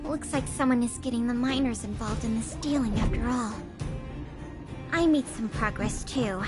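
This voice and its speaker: A woman's voice: fairly high pitch, normal speed, natural voice. A woman playing an eager, studious young investigator in a video game, speaking in an earnest, articulate way. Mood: earnest, informative. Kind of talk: video game character dialogue. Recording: music behind the voice. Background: game background music.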